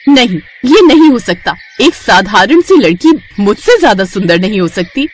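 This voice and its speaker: A woman's voice: on the high side, sing-song, moderate pace, slight background noise. A woman voicing a vain, cruel young princess in a cartoon story, haughty and commanding. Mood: furious, jealous. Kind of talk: Hindi cartoon moral story acting.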